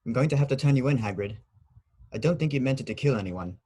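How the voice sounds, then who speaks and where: A man in an amateur table read playing a polite, cold, calculating teenage schoolboy in a measured, formal way. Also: mid-range voice, animated, dark tone, slightly rough. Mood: cold resolve.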